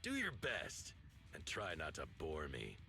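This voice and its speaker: A deep, gravelly biker villain from a video game. He speaks slowly with condescending menace, over loud music. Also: huge pitch swings, full character voice. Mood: mocking menace.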